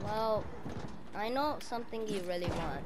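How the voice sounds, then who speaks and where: A woman's voice: mid-range voice, lively intonation, measured pace, a crowd in the background. A woman improvising role-play in a game voice chat, with a blunt, teasing way of talking. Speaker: player in a role-play gaming session. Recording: noisy home headset game chat.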